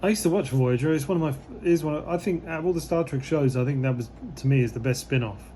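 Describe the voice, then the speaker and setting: A slightly hoarse man, losing his voice, giving relaxed, rambling commentary as he unboxes a model kit, with many fillers. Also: fairly high pitch, fast talker, slight background noise.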